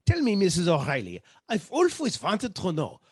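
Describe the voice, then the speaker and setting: A man's voice: high voice, wildly swooping pitch, moderate pace, breathy edge, dry recording, clean studio sound, heavily acted. A man playing a sardonic, teasing musician professor in 1940s radio sitcom style, flowery and mocking. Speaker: amateur theatre performer.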